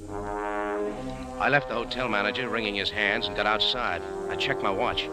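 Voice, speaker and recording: An actor playing a cool, wry American café owner and sleuth, laconic and deadpan in 1940s radio drama style. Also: male voice, lowish voice, normal speed, lightly acted, low-quality recording. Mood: cool, narrating. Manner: laconic, hard-boiled, deadpan. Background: music.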